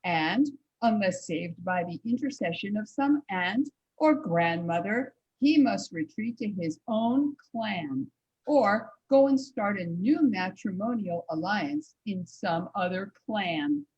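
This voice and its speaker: A woman reading the part of an earnest nineteenth-century suffragist in a deliberate, declamatory reader's theater style. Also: mid-range voice, expressive, darkish tone, husky, highs cut off. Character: earnest 19th-century suffragist, Elizabeth Cady Stanton, reading her words.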